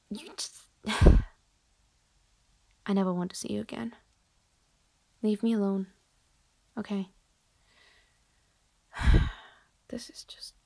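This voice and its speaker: A young woman voicing an irritated young woman who bluntly rebuffs a persistent admirer, with sarcasm and frequent breathy whispers. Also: medium pitch, mellow. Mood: weary. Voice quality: often drops to a breathy whisper. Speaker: voice roleplayer. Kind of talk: improvised audio roleplay.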